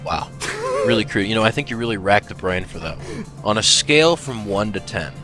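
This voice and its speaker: A man voicing a playful tomcat warrior who reads out fan questions as announcer, teasing, goofy and deadpan by turns. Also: on the low side, a little fast. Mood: dry, mocking. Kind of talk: improvised in-character fan Q&A. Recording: music behind the voice.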